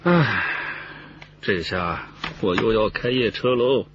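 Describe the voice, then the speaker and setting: An actor playing an eager, cheeky police detective with a brisk, bantering manner in a radio drama. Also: male voice, fairly low pitch, expressive. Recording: slightly dull, noisy recording.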